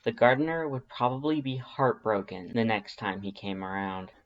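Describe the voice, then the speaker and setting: A man reading fan fiction aloud as expressive scripted story narration. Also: on the low side, moderate pace, dull audio.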